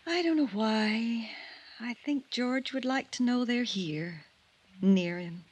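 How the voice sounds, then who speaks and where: An actress playing a frail, gentle little woman in a 1950s radio drama, speaking in a wistful, genteel manner. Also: fairly high pitch, slow, breathy edge, no room sound. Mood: dreamy.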